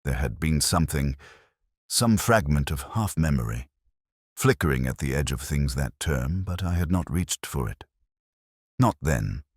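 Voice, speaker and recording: A man narrating a first-person detective mystery in a measured, reflective manner, close to the microphone. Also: extremely low pitch, lively intonation, breathy edge, no room sound.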